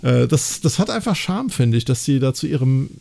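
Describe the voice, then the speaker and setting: A man's voice: mid-range voice, big pitch swings, moderate pace, creaky, darkish tone. A man co-hosting a podcast in casual discussion, often ending his thoughts with little tag questions to seek agreement. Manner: conversational, frequent tag questions seeking agreement. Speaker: podcast host. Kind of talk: casual discussion and recap of an audio drama.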